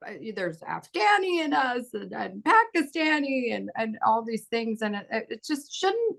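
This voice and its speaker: A woman in her thirties who grew up in Bahrain, speaking as an actor on a panel in an animated, casual way, laughing often and acting out quoted dialogue. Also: on the high side, moderate pace, vocal fry.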